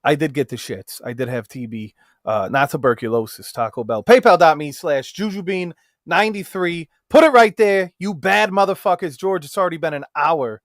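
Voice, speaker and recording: A man co-hosting a casual livestream chat, brash and profane, with sudden loud jumps. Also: on the high side, moderate pace, hardly any pauses, dry recording, studio quality. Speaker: livestream co-host.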